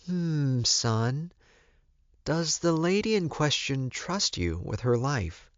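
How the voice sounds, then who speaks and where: A man voicing an older werewolf mother who advises her son in a calm, firm, measured way, as in audiobook dialogue. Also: on the high side, dry recording, lo-fi sound, voice pushed up. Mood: calm, attentive.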